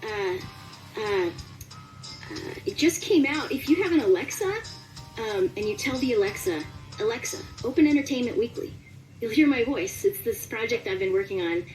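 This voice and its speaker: A woman's voice: medium pitch, lively intonation, moderate pace, distant mic, soft background music, poor audio. A woman chatting casually and animatedly as an interviewed voice actor. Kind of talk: casual chat.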